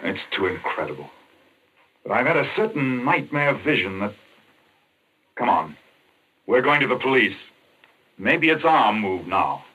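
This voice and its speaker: An actor playing a tense, wry hero caught in a murder plot, clipped and urgent, in a 1940s radio thriller. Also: male voice, lowish voice, sing-song, unhurried, long pauses, pushed and bright, slight background noise, exaggerated voice, low-quality recording. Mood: grave, puzzled.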